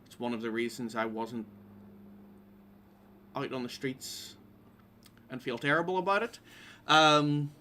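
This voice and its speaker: A man's voice: mid-range voice, fairly even intonation, a little slow, long pauses, pushed and bright, a little hiss or hum. A man with a Derry, Northern Irish accent hosting a live-streamed quiz, reading questions aloud in an informal way with wry asides. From Derry, Northern Ireland. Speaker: quiz host.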